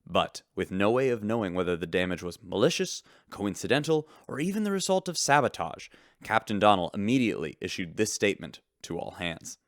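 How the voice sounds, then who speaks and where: A man's voice: fairly low pitch, big pitch swings, fairly quick, no echo, studio quality. An actor playing an affable, earnest radio newsman reporting in a sci-fi audio drama. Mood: dramatic, urgent. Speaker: radio host.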